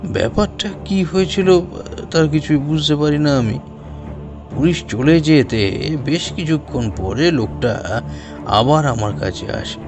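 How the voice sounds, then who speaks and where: A man playing a humble, nervous umbrella repairman in an audio drama, speaking deferentially and haltingly. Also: a little high, lively intonation. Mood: earnest, recalling. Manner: hesitant, deferential, halting with drawn-out pauses. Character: humble, nervous street umbrella repairman recounting what he saw. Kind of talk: audio drama character acting. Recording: music behind the voice.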